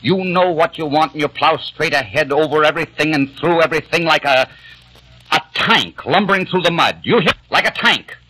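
A man's voice: on the high side, lively intonation, normal speed, sharp tone, rough recording. A man in a 1940s radio drama playing a modern man stranded in eighteenth-century London, given to impassioned, bitter outbursts. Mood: furious.

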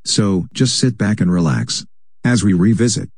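A man introducing the show as its host in a relaxed, inviting announcer's manner. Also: lowish voice, moderate pace, heavy background noise.

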